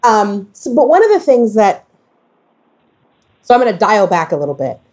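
A woman's voice: mid-range voice, sing-song, moderate pace, darkish tone. A woman with a mid-range voice talks in a casual, conversational way. She thinks aloud, often stopping to rephrase and correct herself as she works toward her point. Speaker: podcast discussion guest.

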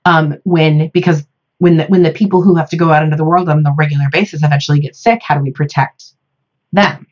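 An American woman in a reflective podcast discussion, speaking thoughtfully and haltingly with pauses between phrases. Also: sits low, fairly even intonation, a little mellow, highs cut off.

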